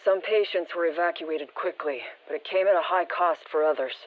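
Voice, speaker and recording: A woman's voice: on the low side, fairly quick. A woman playing a sober female field briefer in a video game, informative and restrained, through a radio filter. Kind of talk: scripted video game voice lines. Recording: muffled, band-limited radio transmission.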